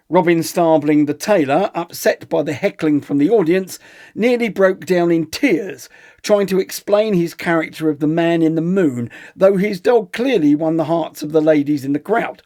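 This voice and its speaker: A man's voice: a little high, darkish tone, lightly acted. A man playing a pompous, withering theatre critic, reading a scripted comic review in an arch, theatrical manner.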